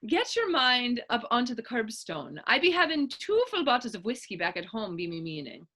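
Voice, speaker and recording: A woman's voice: a little high, big pitch swings, normal speed, bright, forceful tone, exaggerated voice. A woman playing a bold, fervent Irish political idealist in a staged period drama reading, emphatic and persuasive. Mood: teasing, playful. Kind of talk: stage readers-theater dialogue in Irish period dialect.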